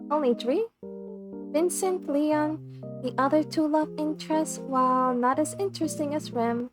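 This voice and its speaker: A woman reading a visual novel script aloud in an expressive, dramatic way over game music. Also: high-pitched.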